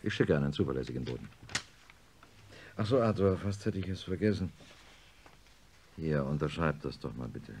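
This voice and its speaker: An actor playing a troubled middle-aged surgeon who narrates and speaks in a radio drama, grave and confiding. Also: male voice, rumbling low, lively intonation, normal speed, long pauses, darkish tone, a little hiss or hum. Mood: evasive.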